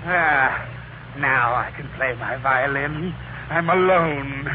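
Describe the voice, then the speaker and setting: An actor playing a pompous, vengeful Roman emperor, declaiming in mock-archaic style for a 1930s radio comedy. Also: male voice, medium pitch, slow, close to an everyday voice. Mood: haughty. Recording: noisy, narrow-band 1930s radio recording.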